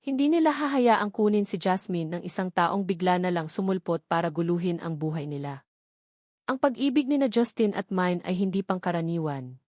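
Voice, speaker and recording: A woman's voice: mid-range voice, a little mellow, no echo. A woman narrating a dramatic audio love story in an earnest storytelling way, close to the mic.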